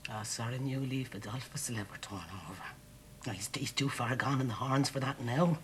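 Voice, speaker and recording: A woman's voice: rumbling low, a little fast, slight background noise. An actress playing an Irish wife who gossips about her drunken husband and coaxes him. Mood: gossiping. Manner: gossipy, coaxing, fussing.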